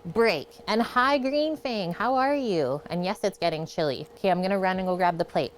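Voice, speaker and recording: A woman's voice: mid-range voice, moderate pace, a little hiss or hum. A woman hosting a casual home cooking livestream, chatting as she cooks and answering viewer comments. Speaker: cooking show host. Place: home kitchen.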